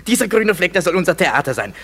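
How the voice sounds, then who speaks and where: A man's voice: sits high, sing-song, fast talker, fairly bright tone, heavily acted. An actor playing a male craftsman leading a play rehearsal, agreeable and fond of repeating himself. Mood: organizing. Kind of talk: German radio play acting.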